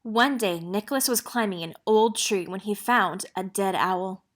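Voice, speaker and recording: A woman narrating a children's picture book in an expressive storytelling manner. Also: medium pitch, unhurried. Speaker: read-aloud narrator.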